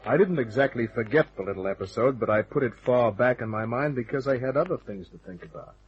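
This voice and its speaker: An actor playing a tough, sardonic hardboiled private detective in a radio drama, with clipped narration and dialogue. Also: male voice, medium pitch, quick pace, dull audio, soft background music, natural voice. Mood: wry, narrating.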